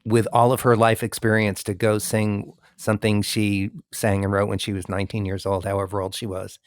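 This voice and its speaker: A man's voice: lowish voice, completely flat delivery, brisk, dry recording, clean studio sound. A man hosting an interview, asking long, friendly, rambling questions with many fillers. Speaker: interview host, musician. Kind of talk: conversational interview.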